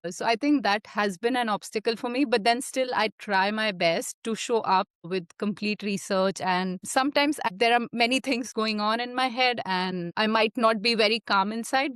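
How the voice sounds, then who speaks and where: A woman on an online panel sharing a reflective personal account, halting and full of fillers, laughing often, over a video call. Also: mid-range voice, fairly bright tone, clear, resonant tone, dry recording, studio quality. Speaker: panel participant.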